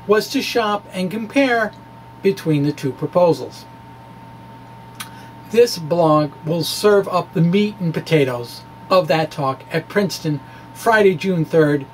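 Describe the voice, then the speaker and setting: A man with a high-pitched voice reads a science blog aloud in a folksy, conversational way. He explains haltingly and drops in informal asides. A faint hum and noise sit behind the voice. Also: poor audio. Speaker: amateur physicist presenter. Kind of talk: dramatic reading of a physics blog, conversational asides.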